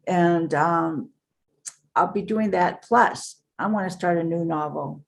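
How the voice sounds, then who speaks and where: A woman's voice: fairly low pitch, slow, creaky. An older woman, a book author, talking in a casual interview, earnest and rambling with many fillers. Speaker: author and guest.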